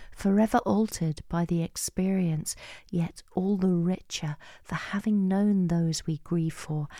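A middle-aged woman reading a scripted podcast introduction in a measured way, hushed at phrase endings. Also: on the low side, no room sound, studio quality. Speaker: podcast host and playwright.

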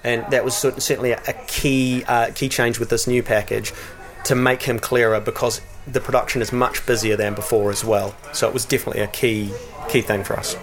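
Man, relaxed, full of ums. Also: medium pitch, brisk. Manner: relaxed, hesitant, with many fillers and restarts. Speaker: radio promo producer. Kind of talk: casual interview talk.

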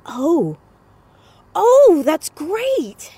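A woman playing an earnest, headstrong, romantic teenage girl in a naturalistic audio drama, excitable and stammering when flustered. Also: extremely high pitch, extremely slow, full character voice. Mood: eager, romantic. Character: earnest, headstrong, romantic fourteen-year-old girl amateur sleuth.

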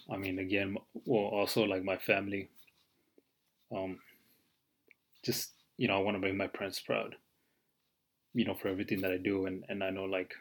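A man in his twenties hosting his own podcast, talking casually and rambling with lots of fillers and some swearing. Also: sits low. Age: 20s. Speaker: podcast host. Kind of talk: casual solo podcast monologue.

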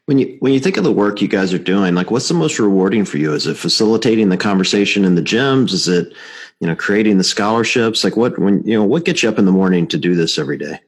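Fast-talking husky-voiced host. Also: male voice, fairly low pitch, animated. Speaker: podcast host. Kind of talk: interview questions.

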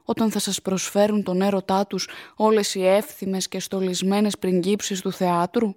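A woman's voice: mid-range voice, level tone, darkish tone, no room sound, clean studio sound, lightly acted. A woman playing a pious, anxious young fiancée in a radio drama, speaking earnestly and formally with tender reproach. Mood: doubtful.

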